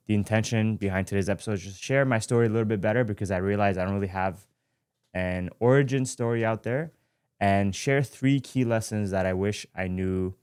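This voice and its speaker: A man in his twenties from Iran, telling his life story in a relaxed, reflective way as host of his own show, often pausing and correcting himself. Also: fairly low pitch, clean tone, dry recording, studio quality. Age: 20s. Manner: reflective, with frequent fillers and self-corrections. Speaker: podcast host. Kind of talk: casual personal storytelling. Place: studio.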